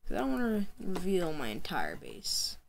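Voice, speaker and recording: A woman's voice: on the low side, huge pitch swings, moderate pace, creaky, a little hiss or hum. A young woman chatting playfully through her gameplay, her voice tired late at night, with yelps when she panics. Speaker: gaming channel host. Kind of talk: casual gameplay commentary. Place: home room at night.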